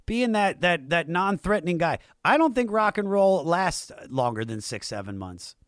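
A man's voice: high voice, big pitch swings, moderate pace, fairly bright tone, dry recording, clean studio sound. An American man hosting a casual music talk show, enthusiastic and emphatic. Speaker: podcast host.

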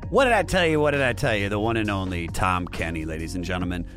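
A man's voice: low-pitched, expressive, fast talker, fairly bright tone. An American man hosting a casual music talk show, enthusiastic and emphatic. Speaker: podcast host.

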